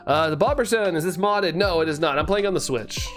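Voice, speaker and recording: A man's voice: on the high side, brisk, fairly bright tone, soft background music. A young man streaming and chatting casually as he reads comments, laughing often, with a voice that keeps giving out. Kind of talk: casual live-stream chat reading comments.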